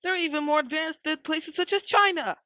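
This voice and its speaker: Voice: sits high, a little fast, pushed and bright, no room sound, clean studio sound. An actor playing a stern, confrontational armed patriot who accuses a president in an amateur audio drama. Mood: accusing.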